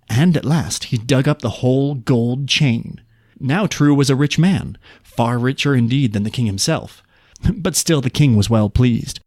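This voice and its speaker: A man's voice: medium pitch, darkish tone, no echo, clean studio sound. A man reading a folk tale aloud as a storytelling podcast host.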